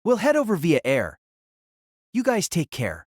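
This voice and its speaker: A woman's voice: on the low side, wildly swooping pitch, measured pace, a little mellow, slightly rough, dry recording, clean studio sound, heavily acted. A woman voicing a mild, easygoing teenage boy fighter with a casual, considerate manner.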